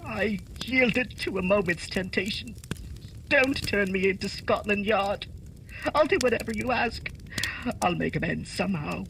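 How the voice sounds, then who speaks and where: Guy doing a frantic pleading crook in a radio play, sharp voice, bit high. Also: a little slow, creaky, a little hiss or hum, full character voice, rough recording. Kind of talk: holiday radio play acting.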